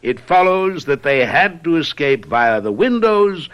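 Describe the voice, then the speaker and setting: Pompous, theatrical gentleman detective, lively intonation. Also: on the high side, measured pace, highs cut off. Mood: condescending, probing. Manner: pompous, teasing, hesitant musing. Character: shrewd, patronizing gentleman detective, courtly and self-assured. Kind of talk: radio mystery drama acting.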